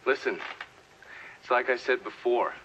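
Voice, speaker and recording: An actor playing a cocksure, cynical American war tactician, blunt and crude, in a game cutscene. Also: male voice, mid-range voice, measured pace, a bit bright, muffled recording, a little hiss or hum, close to an everyday voice. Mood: coolly confident. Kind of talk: dramatic video game cutscene acting.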